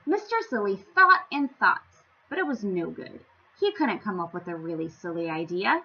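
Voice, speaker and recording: A woman reading a storybook aloud to young children, with theatrical, playful emphasis and an expressive storytelling style. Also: medium pitch, moderate pace, husky, muffled recording. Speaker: children's story-time reader.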